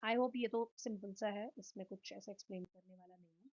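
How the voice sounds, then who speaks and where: A woman's voice: medium pitch, a little fast, dull audio. A woman with an Indian accent giving an explanatory physiotherapy lecture, posing questions and answering them in listed points. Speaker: physiotherapy instructor. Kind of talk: explanatory lecture mixing Hindi and English.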